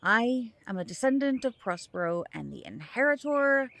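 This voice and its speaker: A woman playing a protective, gentle mother of a magical bloodline in an audio drama, with measured, solemn phrasing. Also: a little high, big pitch swings, gravelly, full character voice. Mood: solemn, grave.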